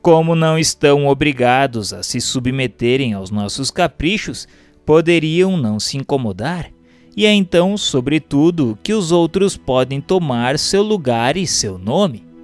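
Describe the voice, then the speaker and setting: A man with a Brazilian accent reading a doctrinal text aloud as a narrator, in a formal, measured, didactic way. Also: a little high, expressive, faint music behind. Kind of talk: scripted audiobook-style narration of a doctrinal text.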